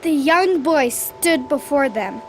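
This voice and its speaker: Young child narrator with a high voice. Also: lively intonation, takes it slowly, loud music behind the voice. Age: child, about 9 or 10. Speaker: school pupil narrator in a radio drama. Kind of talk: scripted narration, read-aloud storytelling.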